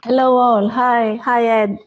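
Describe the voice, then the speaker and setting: A woman's voice: fairly high pitch, steady tone, slow pace, dull audio, a little hiss or hum. A woman from Greece, a guest on a video call talk show, speaking thoughtfully and searching for words.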